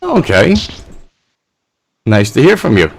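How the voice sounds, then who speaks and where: A man's voice: mid-range voice, huge pitch swings, slow pace, vocal fry, breathy edge. A man hosting a call-in radio show, asking the caller direct questions. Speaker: radio host.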